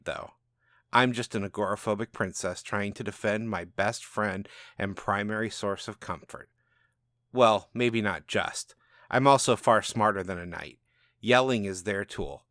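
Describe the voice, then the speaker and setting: Storybook princess, male reader: reclusive, smart, dry and scornful, lowish register, very dry sound. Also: a bit bright, no echo. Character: agoraphobic, smart, scornful young princess guarding her pet. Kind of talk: first-person storybook narration.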